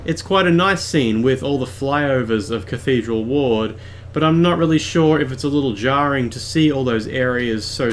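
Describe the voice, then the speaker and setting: Male video essayist, calm scripted narration, explains clearly, medium pitch. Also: a little hiss or hum. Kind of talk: scripted game commentary voice-over.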